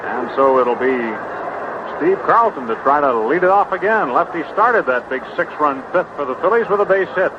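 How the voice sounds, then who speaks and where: A man's voice: a little high, expressive, moderate pace, lo-fi sound, noisy background. A man calling baseball play-by-play live from a stadium booth on a vintage radio broadcast. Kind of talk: live radio sports commentary.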